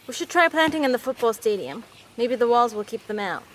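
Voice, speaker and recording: A woman's voice: fairly high pitch, expressive, brisk, a bit bright, slight background noise, rough recording. An actress playing a caring, practical woman survivor in a naturalistic audio drama.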